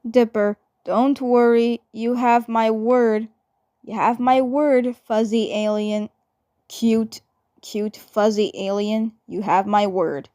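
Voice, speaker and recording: Woman, high voice, slow pace, stammering restarts, repeated phrases, fan-dub roleplay. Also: fairly even intonation, warm tone, no room sound, studio quality.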